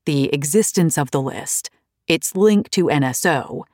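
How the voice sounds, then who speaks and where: Low-voiced woman narrating a memoir. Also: animated, unhurried, a little mellow, no room sound, clean studio sound.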